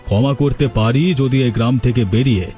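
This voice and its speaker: A man's voice: medium pitch, normal speed, warm tone, faint music behind, natural voice. A man playing a stern, indignant village headman in an emphatic cartoon style. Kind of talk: cartoon voice acting in a village drama.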